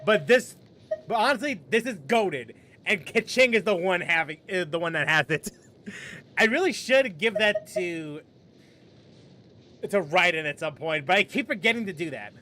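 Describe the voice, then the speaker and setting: A man's voice: high voice, animated, moderate pace, sharp tone. A man streaming a video game, chatting casually with viewers and rambling with frequent laughs. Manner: rambling, laughs often, restarts sentences. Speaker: gaming streamer. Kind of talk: casual live-stream commentary.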